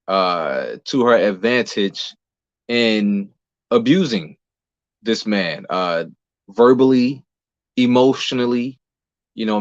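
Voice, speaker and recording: A man answering as an interview guest in a halting, explanatory, conversational way, pausing between phrases. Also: on the low side, dry recording, lo-fi sound. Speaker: interview guest, playwright and lead actor.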